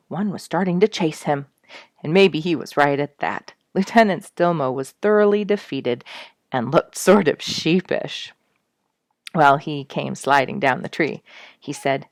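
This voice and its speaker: Low-voiced woman reading a children's storybook. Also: no room sound, studio quality. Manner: addresses listeners as friends, emphatic storytelling phrasing. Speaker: host reading a book chapter aloud to listeners.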